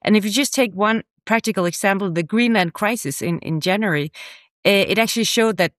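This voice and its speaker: A woman's voice: mid-range voice, brisk. A woman with a mid-range voice comments on politics as a panelist. She is earnest and repeats words for emphasis. The sound is dry, with no room echo.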